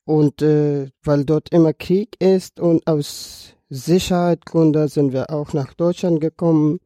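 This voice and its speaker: A man's voice: fairly high pitch, steady tone, unhurried, warm tone, no room sound, clean studio sound. A man in his early twenties from Afghanistan, a native Dari speaker with an Afghan accent, telling his own story in a podcast conversation with non-native phrasing. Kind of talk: personal account in a podcast conversation.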